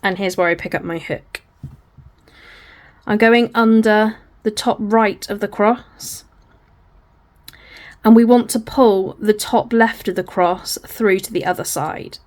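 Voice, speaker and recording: A woman with a croaky, hoarse voice teaches crochet slowly and patiently. She talks through each hand movement as she films, sounding apologetic and plain, at a middling pitch. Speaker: crochet tutor. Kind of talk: step-by-step craft tutorial.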